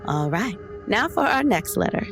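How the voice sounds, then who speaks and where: A woman playing a polite, tactful radio advice host who counsels in a gentle, measured manner. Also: fairly high pitch, big pitch swings, over music, voice pushed up. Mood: kindly advising.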